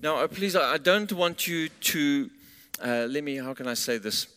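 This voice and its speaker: A man's voice: a little high, lively intonation, bright, forceful tone, clear, resonant tone. A man preaching in English in short phrases for an interpreter, whose first language is Afrikaans. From southern Africa. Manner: pauses in short phrases for the interpreter, some restarts. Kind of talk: sermon with live interpreter. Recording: long hall reverb.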